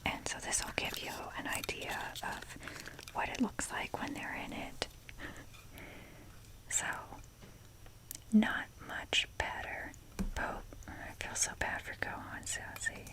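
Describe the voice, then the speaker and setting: A woman speaking in a soft breathy whisper, rambling casually and hesitantly with wry, self-deprecating humour in an ASMR show-and-tell style. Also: takes it slowly, pauses often, low-quality recording. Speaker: ASMR video creator showing a toy collection.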